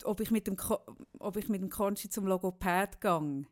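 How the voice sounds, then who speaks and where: A middle-aged woman, chatting casually as a podcast co-host in a teasing, animated storytelling manner. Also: medium pitch, normal speed. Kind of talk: casual chat with a friend.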